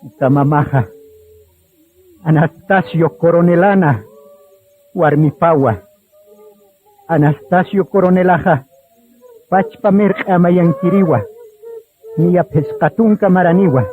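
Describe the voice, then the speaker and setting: A man narrates a radio drama in a solemn, deliberate storytelling style. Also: on the high side. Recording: tinny narrow-band radio sound with music. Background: music.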